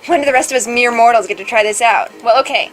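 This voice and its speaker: A woman's voice: a little high, fast talker, rough recording. A woman reading visual novel dialogue aloud as a let's play host, playful and casual in her delivery. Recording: music behind.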